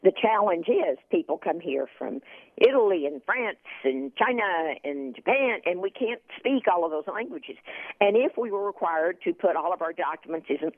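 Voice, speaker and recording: A woman's voice: on the low side, expressive, normal speed, no room sound. A woman from Texas, a guest expert on a radio talk show, chatting and telling anecdotes with many repeated words. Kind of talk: casual radio interview. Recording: phone line.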